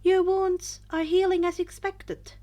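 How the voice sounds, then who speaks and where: A woman plays a male doctor in a story reading, in a high, lifted voice. The doctor is matter-of-fact and reassuring, giving instructions in a helpful clinical way. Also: little pitch movement, unhurried, dark tone.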